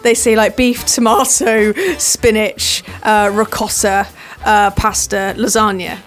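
A woman's voice: medium pitch, little pitch movement, takes it slowly, soft background music. An English woman co-hosting a radio show, chatting playfully and giggling often. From England. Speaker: radio co-host. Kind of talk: casual chat.

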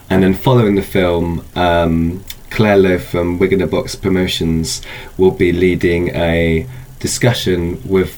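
A man's voice: low-pitched, a little mellow. A man speaking as an event organiser in an informal interview, explaining things in a loose, hedging way. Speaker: film festival organiser, interview guest.

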